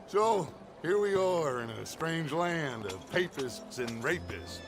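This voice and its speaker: A man playing a grandiose American outlaw gang leader in a video game, speaking in a measured, theatrical way. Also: fairly high pitch, animated, gravelly, heavily acted. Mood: wry, measured. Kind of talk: cinematic video game acting. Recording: music behind the voice.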